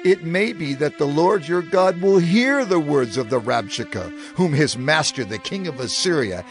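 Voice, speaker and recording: A man's voice: fairly high pitch, vocal fry, exaggerated voice. A man playing a grave, distressed royal envoy, earnest in his appeal, in a dramatized scripture recording.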